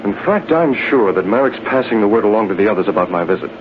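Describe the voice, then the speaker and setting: A man playing a calm, commanding masked vigilante in old-time radio drama style. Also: lowish voice, fast talker, natural voice. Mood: calm, assured. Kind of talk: 1930s-40s radio acting. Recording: muffled, noisy vintage radio recording.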